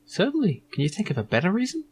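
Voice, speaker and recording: A man's voice: high voice, huge pitch swings, fast talker, a little mellow, a little hiss or hum, voice pushed up. A man voicing a prim, haughty female hologram receptionist in a video game, with polite customer-service delivery. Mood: snooty, dismissive.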